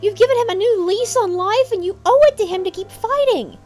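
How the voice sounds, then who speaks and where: A woman's voice: extremely high pitch, fairly quick, a little mellow, faint music behind. A woman playing a railway owner's caring, steadfast wife in an audio drama, speaking firmly and encouragingly. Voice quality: firm and encouraging.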